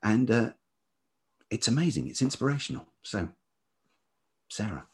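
A man speaking as an interview guest in reflective, casual conversation, halting thoughtfully as he searches for words. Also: medium pitch, big pitch swings, a little mellow, husky. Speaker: interview guest, writer and musician.

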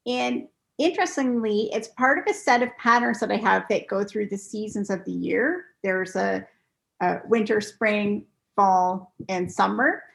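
A woman's voice: medium pitch, unhurried. A woman guest on a podcast, telling stories and explaining a sewing craft step by step in a casual, patient way. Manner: explains in steps, patient and clear. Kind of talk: casual interview talk.

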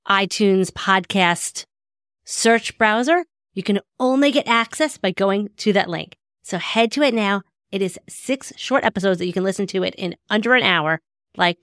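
A woman's voice: on the low side, no room sound, clean studio sound. A woman hosting a podcast in an upbeat, chatty way, reading an intro and interviewing a guest. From United States. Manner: upbeat, chatty promotional delivery. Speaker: podcast host.

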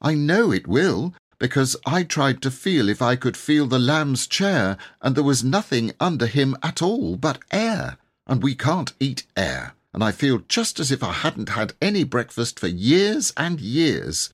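A man's voice: mid-range voice, very animated, unhurried, few pauses, husky, voice pushed up. A man voicing a hungry, fretful little girl who complains in long, breathless run-on sentences for a storybook reading.

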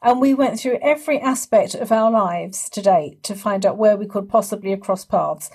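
A woman's voice: mid-range voice, moderate pace, a little mellow, husky. A woman hosting a casual interview, chatting and telling stories as a fellow stage performer. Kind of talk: casual chat and storytelling.

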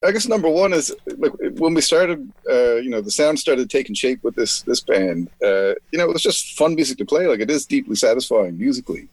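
A man's voice: mid-range voice, animated, brisk, hardly any pauses, a little mellow, husky, dry recording. A man, a musician in a children's band, answering reflectively with many hesitations. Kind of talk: casual interview answer.

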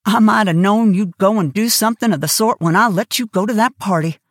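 A woman voicing a stern, sensible older woman who talks in a sharp, shrewish way. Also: fairly high pitch, normal speed, no room sound, studio quality.

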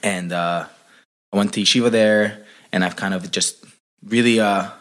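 Young male guest from Chile, deep voice, easygoing talker. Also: fairly even intonation, normal speed, fairly bright tone. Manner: laid-back, lots of "like" and "uh". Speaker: podcast guest. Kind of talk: casual interview chat.

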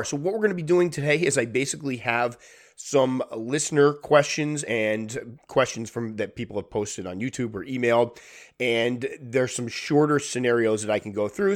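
A man with a stuffy, blocked-up nose talks through a solo podcast episode. His delivery is casual and rambling, full of restarts and asides, in a voice of ordinary middle pitch. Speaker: podcast host.

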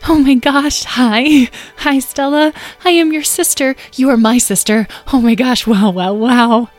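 A woman voicing an eager young creature sister in a story, gushing and excitable. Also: mid-range voice, normal speed, soft background music, voice pushed up. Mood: excited, delighted.

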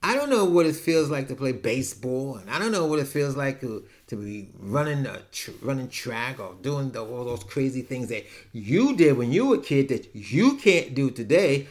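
A man's voice: mid-range voice, sing-song, moderate pace, hardly any pauses. A man, talking frankly and rambling through a casual personal monologue with many restarts. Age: 70s. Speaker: podcast host.

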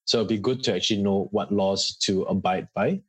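A Singaporean man speaks in a fairly low, husky voice as an interview guest. He explains things earnestly and conversationally, with examples, in a dry, close sound. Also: brisk, dry recording.

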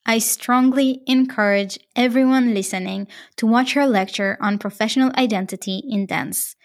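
Israeli-accented woman podcast host. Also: mid-range voice. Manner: effusive, thankful, encouraging. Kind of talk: warm interview wrap-up and scripted outro.

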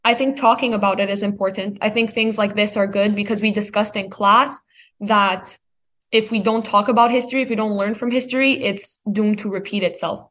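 A teenage high school student, female, talks through her ideas in a thoughtful discussion. Her voice sits at a middle pitch, and she is earnest and articulate. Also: steady tone, a little fast, fairly bright tone. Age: teens.

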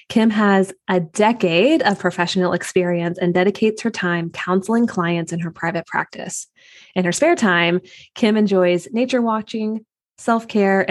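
Brief: effusive woman host with a slightly low voice, introducing a guest. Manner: upbeat, effusive and friendly. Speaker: podcast host. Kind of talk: podcast intro and interview.

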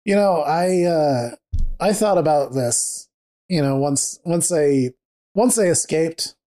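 Mellow-toned co-host, rambles and jokes through a casual book chat. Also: mid-range voice, animated, takes it slowly. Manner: rambling, joking, many fillers and restarts. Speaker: podcast co-host.

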